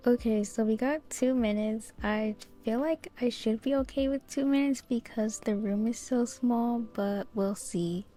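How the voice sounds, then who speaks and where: Young woman, soft mellow tone, casual chatty gaming commentary, light music behind. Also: on the high side, unhurried, hardly any pauses. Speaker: gaming video host.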